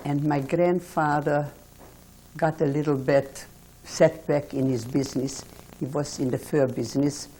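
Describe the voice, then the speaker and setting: A Hungarian-born woman in her eighties, recalling her life slowly and haltingly in an oral history interview. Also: extremely low pitch, gravelly, warm tone, slight background noise, rough recording. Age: 80s. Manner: slow, halting recollection with restarts.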